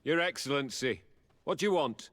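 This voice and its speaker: A gruff military officer in a fantasy game. His mid-pitched voice is formal and curt, that of someone bound by duty. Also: animated, moderate pace, fairly bright tone. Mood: stern. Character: gruff, dutiful male military captain. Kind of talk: video game cutscene acting.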